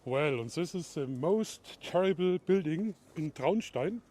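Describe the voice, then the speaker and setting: A middle-aged man from Bavaria with a German accent, chatting casually while walking outdoors and talking to viewers in a live video, moving between German and halting English. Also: fairly high pitch, animated, warm tone. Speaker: livestream vlogger. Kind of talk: casual live walk-and-talk. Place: outdoors in a town at night.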